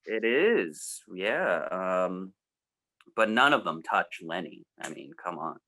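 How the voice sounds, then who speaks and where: A man's voice: low voice, very animated, slow pace, pushed and bright. An American man in his thirties chatting in an informal, rambling way as a co-host on a music show. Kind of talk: casual chat.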